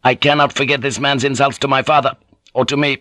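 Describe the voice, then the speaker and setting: A man's voice: medium pitch, flat delivery, brisk, pushed and bright, no room sound, voice pushed up, natural voice. A man voicing a proud young samurai bent on honor, formal and defiant, in an audiobook. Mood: cold defiance. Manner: formal, defiant, clipped politeness. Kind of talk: audiobook character voice.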